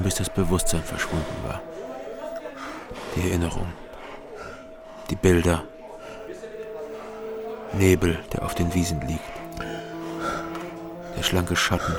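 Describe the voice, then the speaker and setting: An actor playing a guilt-ridden male ex-soldier in a radio drama, brooding and pleading. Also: sits low. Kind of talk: radio drama acting and inner narration.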